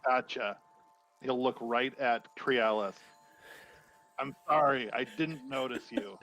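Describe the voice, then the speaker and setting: A man's voice: mid-range voice, takes it slowly, a bit bright, soft background music, poor audio. A man playing a tabletop game, talking in character.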